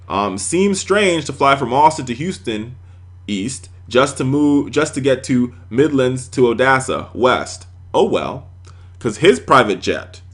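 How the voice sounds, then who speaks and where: Animated American man, political show host. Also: mid-range voice. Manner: sarcastic, emphatic, rhetorical. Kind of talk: opinionated news commentary to audience.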